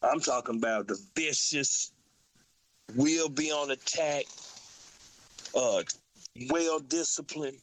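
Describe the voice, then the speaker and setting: A man with a Southern country accent co-hosting a casual sports talk show, getting animated as he talks. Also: takes it slowly, long pauses, slight background noise, rough recording. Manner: animated, excitable, rises high when hyped. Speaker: podcast co-host.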